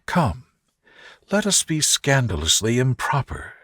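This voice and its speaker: A man voicing a witty, tender young gentlewoman in love in a soft, slow bedtime storybook. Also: mid-range voice, wildly swooping pitch, dry recording, studio quality, pitched above the performer's own voice, full character voice. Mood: playful. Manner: soft, playful, slow and deliberate. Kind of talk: soothing bedtime storybook dialogue.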